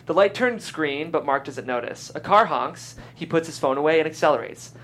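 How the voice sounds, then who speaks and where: A man's voice: a little high, lively intonation, moderate pace, fairly bright tone. A man reading screenplay stage directions aloud as the narrator of a live table read. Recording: faint background noise.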